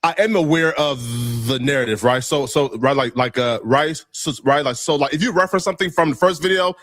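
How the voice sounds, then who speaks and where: A man's voice: on the high side, brisk, a bit bright, dry recording, studio quality. A man answering interview questions defensively, rambling and packing his speech with "like" and "right?". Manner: rambling, heavy fillers, restarts. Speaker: interview guest.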